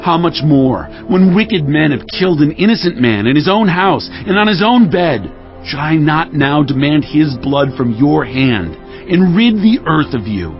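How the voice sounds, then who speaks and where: A man acts out a grave, wrathful warrior king in a scripture drama. His voice sits a bit high for a man and declaims with command. The audio is slightly dull, with soft music underneath. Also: very animated, measured pace. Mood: righteous anger.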